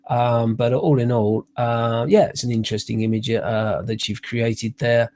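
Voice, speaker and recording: A man's voice: medium pitch, steady tone, measured pace, darkish tone. A middle-aged man with a cold, sounding snuffly, giving a chatty, hesitant photography critique over a webcam in a small room. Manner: chatty, hesitant, many uhs and restarts. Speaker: photography tutor. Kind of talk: live online workshop critique. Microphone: webcam on a computer.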